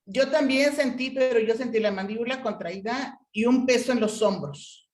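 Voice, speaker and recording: A woman's voice: a little high, normal speed, darkish tone. A woman in her sixties, a teacher, briefly describing a bodily feeling over a video call. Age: 60s. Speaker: teacher taking part in a workshop. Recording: distant echoey video call with faint noise.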